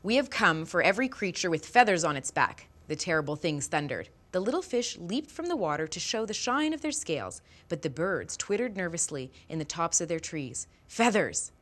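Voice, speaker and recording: A female teacher reading a picture book aloud to her class in an expressive storytelling manner. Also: lowish voice. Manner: expressive storytelling, slow and lively.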